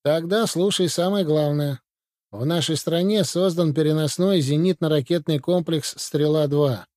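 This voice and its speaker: A man voicing a brisk, commanding Soviet military adviser in an audiobook, in a matter-of-fact briefing manner. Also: fairly high pitch, warm tone, no echo, clean studio sound, natural voice. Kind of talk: audiobook reading.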